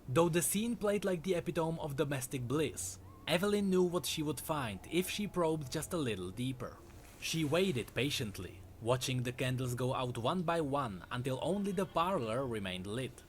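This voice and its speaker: A man's voice: on the high side, expressive, moderate pace, slight background noise. A man narrating a dramatic scripted story as a storyteller.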